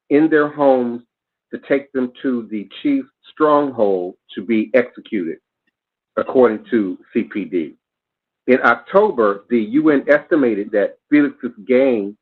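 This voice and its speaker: A man hosting a show, reading news reports aloud with care, who stops to spell out names and correct his own pronunciation. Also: medium pitch. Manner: careful, spells out names letter by letter. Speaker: host. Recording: phone-like narrow band.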